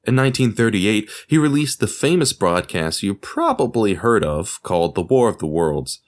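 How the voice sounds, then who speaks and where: A man presenting a podcast as its host, reading scripted narration in an explanatory way. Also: low voice. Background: music.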